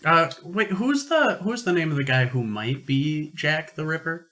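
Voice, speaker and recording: A man co-hosting a podcast in casual comedic banter, halting and wry as he wanders into tangents. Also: a little high, lively intonation, bright, forceful tone. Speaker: podcast co-host.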